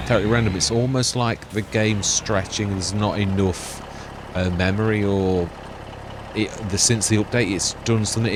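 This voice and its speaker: A quiet-voiced man hosting a farming game let's-play, chatting in a meandering, self-correcting way. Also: lowish voice. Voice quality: soft-spoken, quiet voice. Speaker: gaming channel host. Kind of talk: rambling let's-play commentary. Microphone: laptop gaming setup. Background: noisy computer hum.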